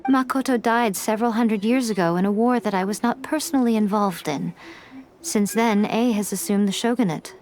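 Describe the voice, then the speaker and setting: A woman playing a sly, wise fox-spirit shrine maiden in a video game, speaking in a composed, formal, gently teasing way. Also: medium pitch, normal speed, faint music behind, close to an everyday voice. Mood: composed, explanatory. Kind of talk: scripted video game dialogue.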